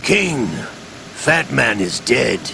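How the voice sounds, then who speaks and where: An actor playing a gruff, cold villain's henchman in a video game, with a gravelly voice and a clipped, businesslike delivery. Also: medium pitch, sing-song, drawn-out words, heavily acted. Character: gruff cold male villain henchman reporting to his leader. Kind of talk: dramatic video game voice acting. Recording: loud vehicle and ambient noise.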